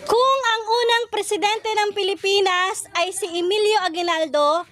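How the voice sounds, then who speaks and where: A woman with a Filipino accent playfully hosting a quiz, putting questions loudly to contestants. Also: very high-pitched, normal speed, some chatter behind. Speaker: quiz host. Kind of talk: playful quizzing in a comedy video.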